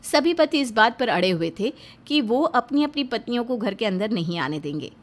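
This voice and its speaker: A woman's voice: on the high side, big pitch swings, moderate pace, slight background noise. A woman reading scripted narration for a moral story as its storyteller.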